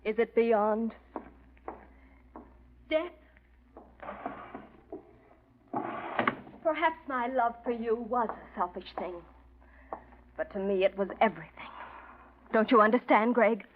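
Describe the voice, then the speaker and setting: A woman's voice: fairly high pitch, measured pace, pauses often, noisy background, close to an everyday voice. An actress playing a selfish, scheming young woman with theatrical intensity in 1940s radio drama style. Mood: bitter, possessive. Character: selfish, scheming young woman, cold murderess feigning fear. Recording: muffled old radio recording.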